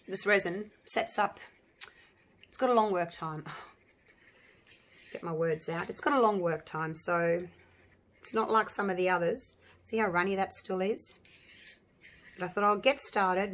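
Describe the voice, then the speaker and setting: A slightly hoarse woman hosting a casual crafting tutorial, chatting and thinking aloud with lots of ums and restarts. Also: lowish voice. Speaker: resin artist and channel host.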